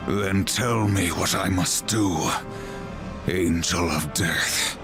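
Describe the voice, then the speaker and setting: Deep, slow, grim warrior. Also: male voice. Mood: accusing, grim. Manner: stern, accusing, terse. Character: grim, stern supernatural horseman warrior. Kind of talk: solemn video game character acting. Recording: loud music behind the voice.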